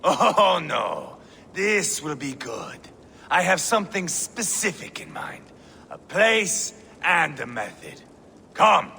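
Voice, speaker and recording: A man's voice: fairly high pitch, very animated, slow pace, creaky, pushed and bright, slight background noise, full character voice. A man acting a cruel, theatrical Viking warlord in a video game, gloating and mocking as he savors his words. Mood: sly relish.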